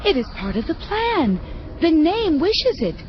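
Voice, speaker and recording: High-voiced, eager angel assistant. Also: female voice, very animated, unhurried, heavily acted. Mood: earnest, reverent. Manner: polite, earnest, formal. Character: eager, deferential female junior angel assistant. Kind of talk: audio drama acting. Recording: dull sound, faint background noise.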